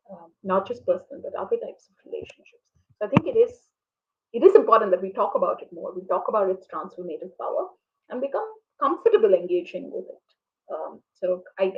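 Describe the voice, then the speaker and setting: Reflective woman on a muffled call. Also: medium pitch. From India. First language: Tamil. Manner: reflective, many ums and restarts. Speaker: moderator. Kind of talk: online book conversation. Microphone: video call. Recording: dull lo-fi video call audio.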